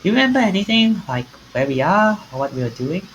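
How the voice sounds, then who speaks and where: A man voicing a fussy, concerned young woman in an animated, pushy way for a visual novel. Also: high voice, quick pace, slight background noise, pitched above the performer's own voice, rough recording. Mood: relieved, probing. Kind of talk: amateur visual novel character reading.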